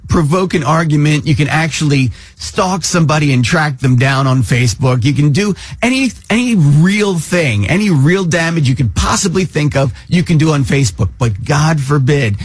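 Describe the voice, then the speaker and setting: Husky, animated man ranting on radio. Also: fairly high pitch, normal speed, few pauses, no echo. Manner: sarcastic, exasperated, emphatic. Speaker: radio talk show co-host. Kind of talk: casual opinionated radio banter. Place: radio studio. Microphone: close studio microphone.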